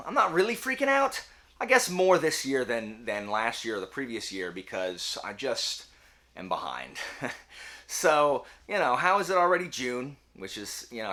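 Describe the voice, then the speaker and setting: A man hosts a casual book vlog in a bright, forceful voice of middling pitch. His intonation swings widely from phrase to phrase. He chats in an animated, slangy way with a self-deprecating streak. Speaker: book reviewer hosting a video channel. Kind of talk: casual chatty monologue to camera.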